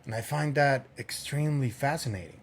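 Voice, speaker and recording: A man hosting his own podcast, musing aloud in a loose, conversational way. His voice sits at an ordinary middle pitch and he fills his pauses with plenty of ums and uhs. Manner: unhurried, many fillers. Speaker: podcast host. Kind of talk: casual solo commentary.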